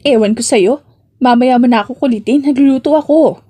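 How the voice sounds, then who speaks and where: Coy, teasing young working woman for audio romance, read by a female narrator; slightly high, mellow voice. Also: a little fast. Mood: flustered, teasing. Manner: teasing, coy, mock-annoyed.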